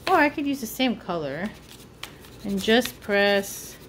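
Slow-paced woman teaching drawing. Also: mid-range voice, animated, background noise. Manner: informal, thinking aloud while drawing. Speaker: art teacher. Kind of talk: step-by-step drawing lesson for children. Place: indoors at a table.

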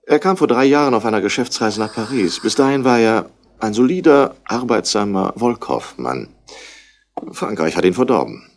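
A busy, self-important tycoon in a vintage radio crime play. The voice is on the low side and swings widely in pitch, with clipped, indignant delivery. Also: male voice, expressive, normal speed. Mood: indignant, disapproving. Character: brusque, busy rich Australian businessman, wool merchant's son. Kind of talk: 1960s German radio crime drama acting.

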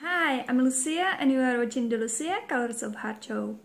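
Upbeat Czech host, high voice. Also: female voice, expressive, normal speed, soft background music. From Czech Republic. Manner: upbeat and playful. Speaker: show host. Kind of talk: scripted intro to camera. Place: home room, indoors.

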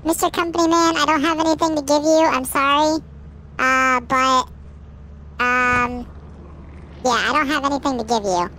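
A woman chats playfully over game voice chat. Her voice is high and bright, with a faint hiss underneath. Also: fairly even intonation, moderate pace, pauses often. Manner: playful, puts on a tiny voice. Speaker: gaming teammate. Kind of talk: casual gaming banter.

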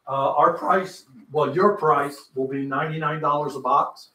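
A man's voice: on the high side, unhurried, bright, forceful tone. A man chatting at a card break table, making offhand wisecracks and side remarks. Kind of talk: casual group chat. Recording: roomy, a little far from the mic.

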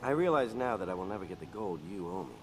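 An actor playing a cold, menacing warlord with a gravelly voice in a video game, coolly threatening. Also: male voice, low voice, lively intonation. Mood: cold menace. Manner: slow-burning, coolly threatening. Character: menacing, cold warlord collecting a debt from a dictator. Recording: loud music under the voice.

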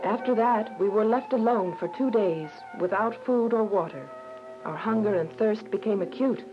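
A woman's voice: mid-range voice, moderate pace, close to an everyday voice. A woman playing an earnest, devout young prisoner, narrating her story in a radio drama. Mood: somber, reflective. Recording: dull recording with music behind. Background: music.

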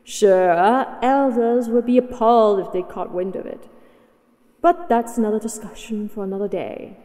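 A woman's voice: fairly high pitch, normal speed, dark tone, lightly acted. Woman as a haughty dragoness in cavernous reverb. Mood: haughty, coy. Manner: condescending, commanding, teasing. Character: possessive, haughty, mighty female dragon captor. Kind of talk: scripted roleplay audio acting.

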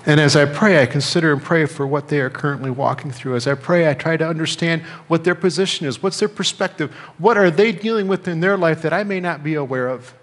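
Man, American accent, middle-aged, pastor's sermon, deliberate phrasing, long room reverb. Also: fairly high pitch. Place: church sanctuary, indoors at a lectern. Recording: reverberant church hall.

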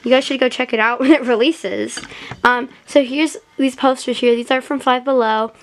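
A woman's voice: sits high, steady tone, normal speed, slight background noise. A young girl with an American accent, chatting casually and informally as she narrates a home vlog tour. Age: young, child or teenager. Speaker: doll vlogger giving a house tour. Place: indoor room at home, behind the camera.

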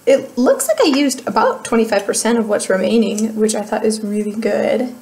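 A woman's voice: fairly high pitch, expressive, normal speed, darkish tone. A young woman with an American Midwest accent chatting casually in a beauty vlog, rambling and laughing at herself, recorded on a webcam in a small room at home. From Midwest United States. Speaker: beauty vlogger. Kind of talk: casual chatty product update.

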